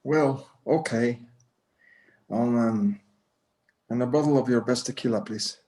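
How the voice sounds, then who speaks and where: A man's voice: medium pitch, a little slow, warm tone, natural voice. A man playing a proud, courteous old Mexican-American rancher in a screenplay table read, speaking formally. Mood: polite. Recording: echoey room.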